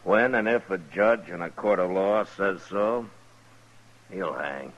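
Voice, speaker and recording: A man acting a stern, steady frontier lawman in an old-time radio western, speaking deliberately. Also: deep voice, dull audio. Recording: noisy old radio drama recording.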